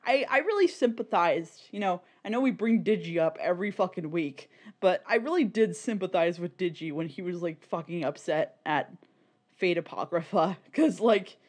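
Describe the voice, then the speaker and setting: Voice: medium pitch, animated, moderate pace. Rambling, sweary podcast co-host. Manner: rambling, emphatic, swears freely. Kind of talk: casual, opinionated podcast chat about niche fan media.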